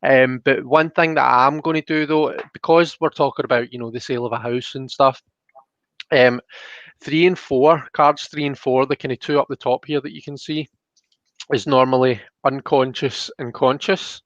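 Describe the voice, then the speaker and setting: A man's voice: medium pitch, steady tone, moderate pace, dry recording, highs cut off. A man hosting a casual livestreamed tarot reading, explaining things in an informal, hesitant, chatty way. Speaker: host.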